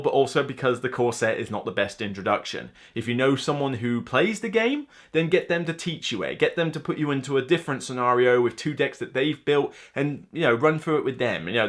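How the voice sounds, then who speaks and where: A man's voice: medium pitch, a bit bright. A man in his thirties giving casual, enthusiastic, conversational commentary to camera as a board game reviewer. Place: indoor room lined with game shelves.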